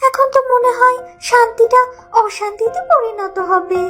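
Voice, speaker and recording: A tiny motherly cartoon bird, voiced by a woman, with an extremely high childlike pitch and an anxious, dramatic way of talking. Music plays clearly behind it. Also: moderate pace, a bit bright. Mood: alarmed. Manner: pleading, dramatic worry. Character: small anxious motherly female sparrow.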